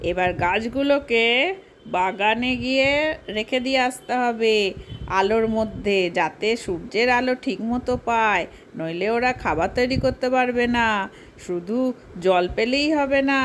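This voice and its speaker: A woman's voice: a little high, slight background noise, close to an everyday voice. A woman voicing a practical village mother doll who instructs and cautions children in a children's story.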